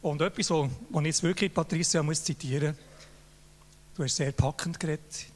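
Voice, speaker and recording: A man's voice: on the high side, steady tone, moderate pace. Older Swiss German man in a reverberant hall. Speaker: jury member presenting an award. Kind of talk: Swiss German laudatory speech. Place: large hall on a stage. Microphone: handheld mic.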